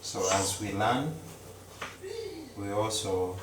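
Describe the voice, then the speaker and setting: A man, a Luganda speaker, chatting casually to viewers as he cooks in a home kitchen, slow and repetitive. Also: fairly low pitch, reverberant, distant mic, background noise. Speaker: cooking vlogger. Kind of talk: casual live-stream chat.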